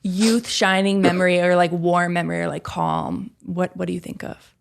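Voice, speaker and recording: A woman's voice: fairly low pitch, steady tone, moderate pace, creaky, a little hiss or hum. A woman in her mid-twenties joining a podcast as guest host, giggly and full of fillers in casual chat. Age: 20s.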